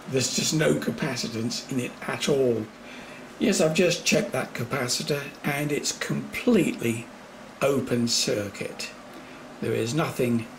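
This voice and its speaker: Man, creaky voice, slow delivery, informal demonstrator, matter-of-fact, dry asides. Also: mid-range voice, slight background noise, poor audio. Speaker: hobbyist repairer presenting own video. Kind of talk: informal repair demonstration narration.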